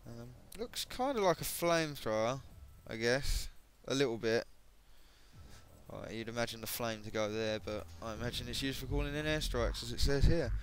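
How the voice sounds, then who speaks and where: Croaky-voiced man narrating game menus. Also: medium pitch, animated, normal speed, a little hiss or hum. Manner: hesitant, many ums and self-corrections. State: voice going croaky. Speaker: gaming video creator. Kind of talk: casual gameplay commentary.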